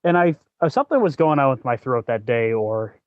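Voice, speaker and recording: Male podcast host, choppy halting delivery, medium pitch, dry and slightly muffled lo-fi sound. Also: lively intonation, no room sound. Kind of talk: casual chat giving gaming advice. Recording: dull, lo-fi close audio.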